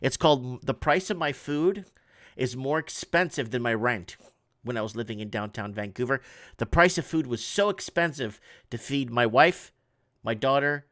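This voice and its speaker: A man's voice: medium pitch, fairly bright tone, dry recording, studio quality. Man reading news with stumbling asides. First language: Portuguese. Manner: halting, restarts often, reads articles aloud with asides. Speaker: online news show host. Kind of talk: casual commentary reading headlines.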